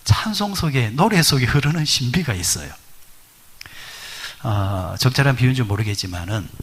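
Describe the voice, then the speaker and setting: A middle-aged male pastor delivers a conversational sermon with a husky, slightly breathy voice. His pitch swings widely as he asks the congregation questions and leans on fillers. His voice sits on the low side. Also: fairly bright tone. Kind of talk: sermon at midweek prayer service.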